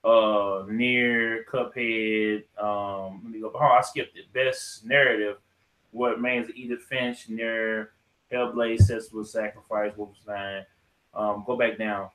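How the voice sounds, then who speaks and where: A man's voice: on the low side, little pitch movement, unhurried, fairly bright tone, low-quality recording. A man hosting a podcast in an informal, slangy way, chatting casually and reading through lists. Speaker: podcast host.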